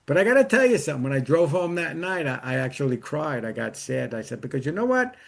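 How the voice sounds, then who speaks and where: Higher-pitched man telling personal stories. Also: animated, quick pace, vocal fry. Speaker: interview guest, author. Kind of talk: conversational storytelling and personal advice.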